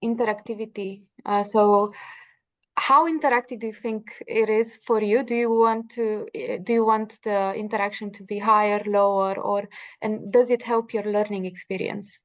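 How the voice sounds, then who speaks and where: A woman moderating a webinar informally, with hesitant, halting phrasing. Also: mid-range voice, fairly even intonation. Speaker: panel moderator. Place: online webinar call. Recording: dull, muffled online call audio.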